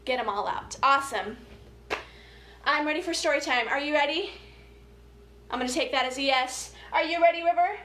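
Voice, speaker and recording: A woman's voice: sits high, fairly bright tone. A woman hosting a casual, interactive story time for children, chatting in a playful, informal and encouraging way. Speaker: story time host, family educator. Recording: echoey room with light background noise.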